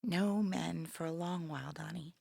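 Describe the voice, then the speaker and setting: An actress in an audio play playing a dying younger sister, weary, wry and resigned, with a frail, halting, breathy delivery that drops to whispers. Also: female voice, lowish voice, darkish tone, dry recording, studio quality. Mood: wry, tired. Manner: frail, halting, wryly understated. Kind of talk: intimate audio play acting.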